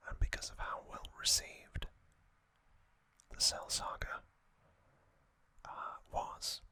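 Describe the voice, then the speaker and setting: A host speaks entirely in a soft, unvoiced ASMR whisper, taking a slow, unhurried pace. The tone is thin and bright, with little rise and fall, in a gentle, measured storytelling manner.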